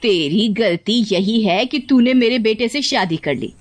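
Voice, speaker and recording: Casting: woman to act a harsh older mother-in-law, nagging, scornful, sharp-tongued, cartoon drama. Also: mid-range voice, lively intonation, unhurried. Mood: angry, scornful.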